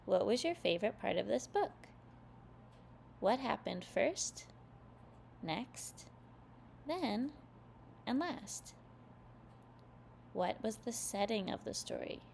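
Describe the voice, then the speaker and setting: A woman narrating a children's read-aloud, expressive and carefully phrased. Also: fairly high pitch, slow, gravelly, long pauses, slight background noise. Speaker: storyteller for young children.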